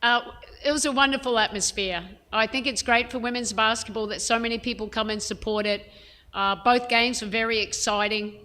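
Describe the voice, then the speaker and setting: A woman head coach answering reporters' questions at a press conference, frank and measured, with plenty of "um" and "you know". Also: fairly high pitch, steady tone, pushed and bright. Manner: frank, measured, many fillers.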